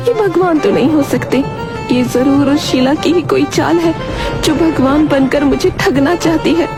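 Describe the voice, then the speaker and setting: A woman voicing a naive, stubborn talking cow in an earnest, plaintive way for an animated children's story. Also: high-pitched, measured pace, over music, voice pushed up. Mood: suspicious.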